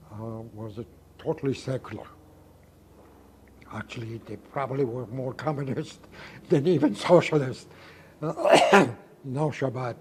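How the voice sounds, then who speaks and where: A man in his nineties giving an oral history interview, reminiscing in a halting way with frequent pauses and restarts. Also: medium pitch, a little mellow, slight background noise. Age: 90s.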